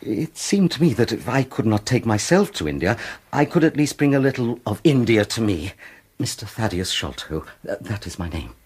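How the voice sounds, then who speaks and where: A nervous, refined English aesthete in a radio play. His husky, lowish voice swoops animatedly up and down. He is fussy, timid and forever apologetic. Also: sing-song, normal speed, a little mellow. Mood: fussy, welcoming. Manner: fluttery, precious, apologetic. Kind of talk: radio drama acting.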